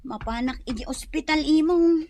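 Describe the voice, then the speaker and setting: A woman's voice: sits high, moderate pace, warm tone. A woman with an Ilocano accent playing a worried, earnest mother who pleads for help in a radio drama. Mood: pleading. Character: worried, pleading mother seeking a loan, grateful.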